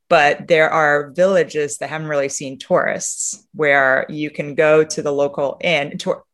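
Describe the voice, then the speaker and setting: Female interview guest from Romania, conversational and reflective, halting delivery with frequent rephrasing. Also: low voice. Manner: reflective, halting, often rephrasing mid-sentence. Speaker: interview guest, sustainability leader. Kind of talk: conversational interview answers, telling travel anecdotes.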